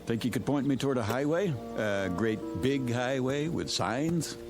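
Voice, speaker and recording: A man's voice: mid-range voice, lively intonation, moderate pace, music in the background, natural voice. An actor playing a wry, conversational motorist on the road with his dog in a radio drama. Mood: friendly, dry.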